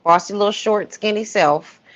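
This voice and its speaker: A woman's voice: medium pitch, moderate pace. A woman giving opinionated, emphatic gossip commentary in a casual livestream chat. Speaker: co-host of a livestream. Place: livestream call. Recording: muffled lo-fi livestream audio.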